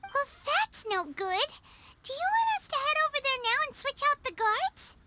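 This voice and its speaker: A woman voicing an excitable, blunt little fairy girl companion in a video game, with a high, squeaky, childlike voice. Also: normal speed, exaggerated voice. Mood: uneasy. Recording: game audio with loud music behind.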